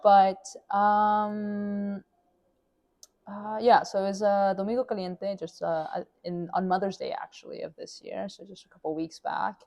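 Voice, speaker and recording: A woman's voice: medium pitch, flat delivery, creaky, a little mellow. A young woman from Mexico, a guest in a casual interview, talking chattily with lots of fillers and restarts. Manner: chatty, many fillers and restarts, quick to laugh.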